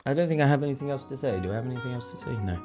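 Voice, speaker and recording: A young man hosting his own podcast, a little croaky, chatting casually and thinking aloud in a self-deprecating way. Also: mid-range voice, expressive, racing, darkish tone, soft background music. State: slightly croaky voice. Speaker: podcast host. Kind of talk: casual solo podcast chat.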